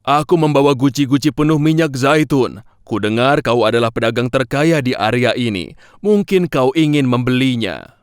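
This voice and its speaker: A man playing a commanding, sly bandit chief in a children's cartoon, speaking in a deliberate, declamatory way. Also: mid-range voice, animated, smooth, dry recording, clean studio sound, lightly acted. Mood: smooth, sly.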